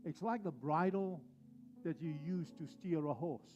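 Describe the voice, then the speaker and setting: A male pastor preaching a sermon, driving his points home with slow, repeated phrases. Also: a little high, darkish tone, highs cut off, faint music behind.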